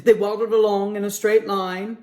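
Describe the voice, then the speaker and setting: A man reading a picture book aloud at a children's story time, with a gentle, expressive manner. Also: high-pitched, normal speed.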